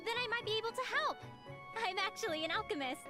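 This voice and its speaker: A woman playing an earnest, excitable teenage novice alchemist in an anime game, hesitant and easily startled. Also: extremely high pitch, fast. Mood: puzzled, curious. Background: game music.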